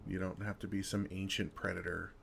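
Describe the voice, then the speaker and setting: A man playing a kind, wry older vampire who comforts a newcomer in an intimate, gentle ASMR roleplay, with dry humor and unhurried pauses. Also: low-pitched, level tone, creaky, a little hiss or hum, exaggerated voice. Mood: wry, protective.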